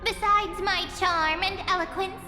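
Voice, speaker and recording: A woman's voice: very high-pitched, steady tone, a little slow. A woman playing a tiny, cute, boastful sprite in a game dub, with a bubbly and theatrical delivery. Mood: plucky, boastful. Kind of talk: English game dub voice acting. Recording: echoey reverb, music behind.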